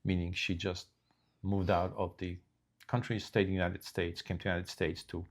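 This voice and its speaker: Deep-voiced older man, halting and unhurried. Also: little pitch movement. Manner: slow, halting delivery with many restarts. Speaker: co-host. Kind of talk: casual chat.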